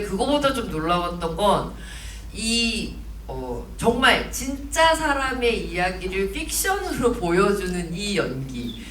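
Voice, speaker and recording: A woman moderating a film Q&A in a cinema, lively and laughing often. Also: fairly low pitch, slight background noise. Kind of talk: event moderation. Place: cinema hall. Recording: echoey cinema hall, mic from a distance.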